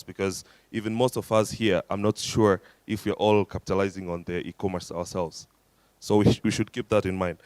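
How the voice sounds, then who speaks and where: A man with a Tanzanian accent puts his points to a conference as a delegate. His voice sits fairly low and sounds dry, with little room around it. He argues in an earnest, persuasive way. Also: a little mellow, clean tone, no echo. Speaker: audience delegate. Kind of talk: conference floor remarks.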